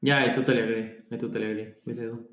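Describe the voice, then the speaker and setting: Male, medium pitch, tentative and easygoing meeting talk. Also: flat delivery, very fast, fairly bright tone, reverberant. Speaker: working group participant. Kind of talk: casual meeting discussion. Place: online group call.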